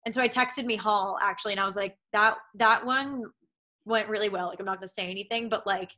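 A woman's voice: medium pitch, quick pace, bright, forceful tone. A young woman from Massachusetts with a Boston accent, chatting casually as an interview guest from home, rambling with lots of "like" and "um". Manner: rambling, heavy use of "like" and "um". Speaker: actress guest.

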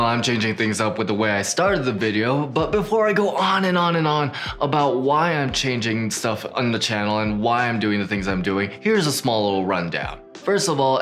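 A young man in his twenties hosting a filmmaking channel, talking casually and eagerly to camera from a small home studio. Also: medium pitch. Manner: upbeat, animated hand gestures, chatty with restarts. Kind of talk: casual talking-head vlog. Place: small home studio with neon lights, indoors.

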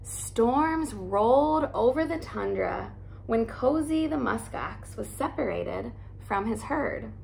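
A woman in her thirties reads a picture book aloud in a soft, cozy storytelling voice. She goes slowly, with a gravelly edge and a slightly raised pitch. She sits close to the camera in a living room, with a faint hiss behind her. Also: animated, rough recording. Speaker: teacher reading aloud.

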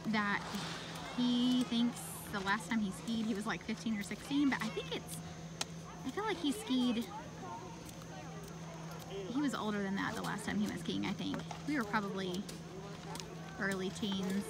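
A woman's voice: mid-range voice, measured pace, long pauses. A woman in her thirties, a mother filming her family, chatting in a rambling way and laughing often. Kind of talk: casual narration while filming. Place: outdoor ski slope at night. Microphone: phone camera held by the speaker.

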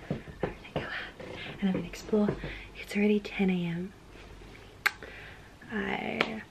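Young woman, travel vlogger, chatty and upbeat, handheld camera, loud traffic behind. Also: mid-range voice, vocal fry. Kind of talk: casual vlog narration. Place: outdoors on city streets, some indoor rooms. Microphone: camera held at arm's length. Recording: street noise and traffic behind.

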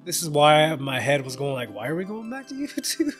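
A man's voice: on the high side, sing-song, fairly quick. A tired young man hosting a casual let's-play, profane and laughing, talking back at the game late at night. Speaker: gaming channel host. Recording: game music loud behind voice.

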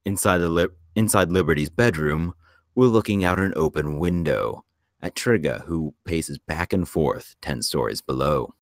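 Male narrator for script directions, extremely low voice, close dry recording. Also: normal speed, dry recording. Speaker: screenplay narrator at a table read.

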